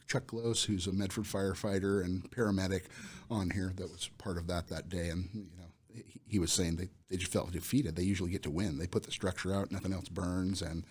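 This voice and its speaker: A man's voice: low voice, husky. An American man chatting casually as a podcast host.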